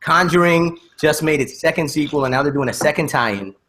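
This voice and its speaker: Fast-talking man, a bit high-pitched. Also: pushed and bright, a little hiss or hum. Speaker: podcast host. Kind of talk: casual opinionated panel discussion. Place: remote video call.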